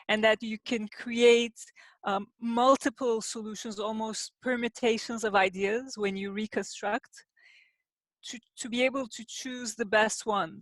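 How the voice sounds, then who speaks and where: A woman, an industrial designer answering as a podcast guest, explaining her work in a measured, thoughtful way with pauses. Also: on the high side, dry recording. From Turkey. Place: home in the city, sheltering in place.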